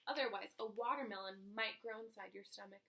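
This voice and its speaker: A woman voicing an excitable, chatty schoolboy in a storybook, eager and emphatic, always rambling. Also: medium pitch, fairly quick, vocal fry. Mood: eager, boastful.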